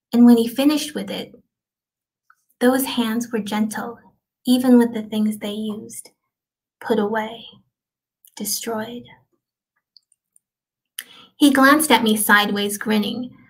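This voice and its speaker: A middle-aged woman gives a dramatic reading as an actor. Her voice sits fairly high for a woman, with a creaky edge. She speaks softly and reflectively, leaving deliberate pauses. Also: poor audio. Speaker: actor performing a literary piece. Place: indoors, small plain room.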